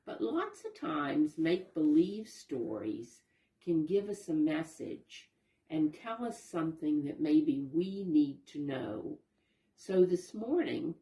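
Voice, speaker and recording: A woman's voice: sits low, warm tone, roomy sound, rough recording. A woman storyteller talking to young children, patiently explaining in simple words and asking them questions. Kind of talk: children's read-aloud lesson, addressing young listeners.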